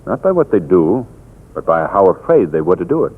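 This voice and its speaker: A worldly gentleman storyteller in a vintage radio mystery, low and calm with expressive swings in pitch. The sound is narrow and tinny, like an old broadcast. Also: a little fast. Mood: reflective. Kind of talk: 1940s radio drama acting. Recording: tinny 1940s radio recording.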